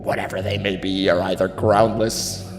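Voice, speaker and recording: A man's voice: deep voice, level tone, moderate pace, natural voice. An actor playing a grief-stricken, wavering drunken nobleman, lamenting theatrically in a classical tragedy. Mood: uneasy foreboding. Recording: long hall reverb, music underneath.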